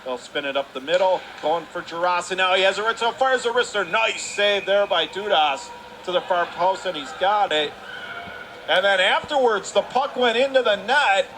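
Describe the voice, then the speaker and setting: A man's voice: high-pitched, lively intonation, moderate pace, pushed and bright. A man calling live radio play-by-play of an ice hockey game from the arena. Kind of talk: live radio sports commentary. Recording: clear arena noise behind the voice.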